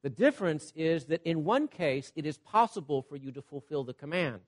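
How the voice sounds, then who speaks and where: Man, high voice, preacher, sermon, animated, loud and soft swings. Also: moderate pace. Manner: animated, wide swings between loud emphasis and soft asides; repeats "Okay?" to check on listeners.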